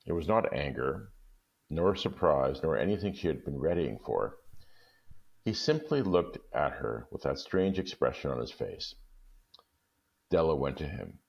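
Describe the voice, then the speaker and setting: A man's voice: extremely low pitch, unhurried, gravelly. A man reading a short story aloud, narrating expressively in a dull lo-fi recording. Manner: expressive, lively. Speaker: story reader.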